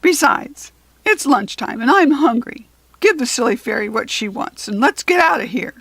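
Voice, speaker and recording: Woman putting on a shrill, bossy storybook goblin. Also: lively intonation, moderate pace, gravelly, husky, no room sound, heavily acted. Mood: grumpy, fed up. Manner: loud, squawking, shrieking. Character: angry bossy male goblin, grumbling and squawking. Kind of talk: children's storybook character voice.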